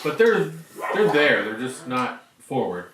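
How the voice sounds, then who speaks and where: A man's voice: medium pitch, sing-song, a little slow, recorded from a distance. A man co-hosting a podcast, talking casually as he tastes and describes beer. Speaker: podcast co-host. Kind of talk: casual beer-tasting chat. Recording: very noisy, roomy, off-mic.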